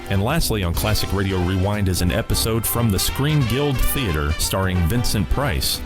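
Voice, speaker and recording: A low-pitched man presents vintage radio in a scripted host's delivery. Plucked guitar music plays clearly behind him. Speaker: radio programme host.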